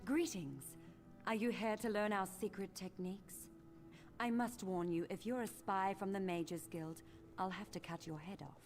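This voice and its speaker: A woman's voice: medium pitch, fairly even intonation, moderate pace, lightly acted. A woman playing a teasing, irreverent fighters' guild member in a fantasy game, with a playful manner. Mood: mock menace. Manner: playful, mock-threatening. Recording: game audio, faint music underneath.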